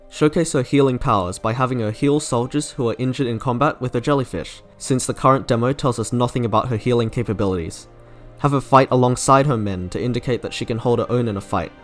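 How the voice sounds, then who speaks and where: A young man reading scripted opinion commentary as a video essayist. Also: medium pitch. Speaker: video essayist reviewing game trailers. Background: soft game music.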